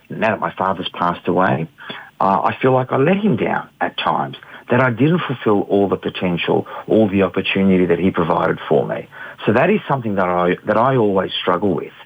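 A man's voice: deep voice, vocal fry, phone-quality sound. A middle-aged man from Australia, an artist giving an interview, speaking reflectively with many ums and restarts. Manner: hesitant, many fillers and restarts.

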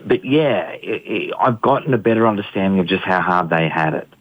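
A man's voice: sits low, expressive, a little fast, muffled recording. A middle-aged man from Australia, an artist giving an interview, speaking reflectively with many ums and restarts. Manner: hesitant, many fillers and restarts.

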